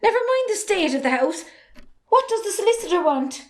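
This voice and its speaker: A woman's voice: very high voice, big pitch swings, brisk. A young woman with an Irish accent playing a forthright, defiant daughter in an amateur drama. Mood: surprised, questioning. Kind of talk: amateur stage drama acting.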